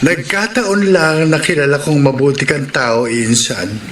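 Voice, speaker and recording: A man's voice: a little high, measured pace, a bit bright, far from the mic. An actor playing a teasing but loyal male cousin and confidant in Filipino radio drama style. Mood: knowing, loyal. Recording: roomy, off-mic, faint noise.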